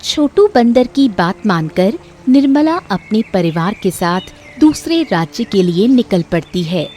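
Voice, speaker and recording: A woman's voice: medium pitch, big pitch swings, unhurried, mellow, soft background music. A woman narrator reading a scripted moral story for children.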